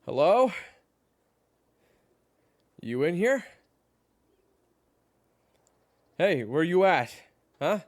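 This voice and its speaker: A man playing a worried, exasperated friend in an audio roleplay, speaking haltingly and naturalistically. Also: on the high side, very animated, no room sound, clean studio sound. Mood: urgent, calling out. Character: worried, exasperated male friend of an amnesiac, gently explaining. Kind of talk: audio roleplay acting, one-sided dialogue.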